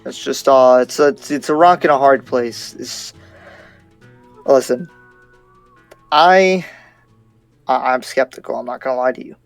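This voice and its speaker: A man playing a skeptical, cautious demon slayer in an improvised game roleplay, halting and repeating himself as he reasons. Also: medium pitch, vocal fry, smooth, faint music behind.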